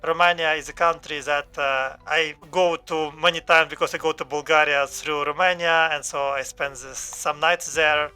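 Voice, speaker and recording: A man hosting a podcast, asking questions haltingly with lots of fillers. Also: a little high, steady tone, bright, forceful tone, clean tone. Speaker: podcast host. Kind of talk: interviewing a guest.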